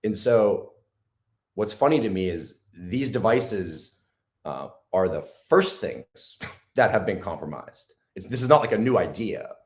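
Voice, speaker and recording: A man with a hoarse, raspy voice, talking casually as an interview guest with many ums and restarts. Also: fairly low pitch, lively intonation, a little mellow. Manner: halting, lots of ums and restarts.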